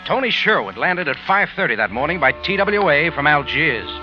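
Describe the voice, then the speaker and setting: A tough American private eye in an old radio drama. His fairly high voice moves up and down a lot in clipped, wry noir delivery. The recording is dull, with loud music behind. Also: big pitch swings, normal speed. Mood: brooding, tense. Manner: clipped, hard-boiled delivery. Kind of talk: 1940s radio noir narration and acting.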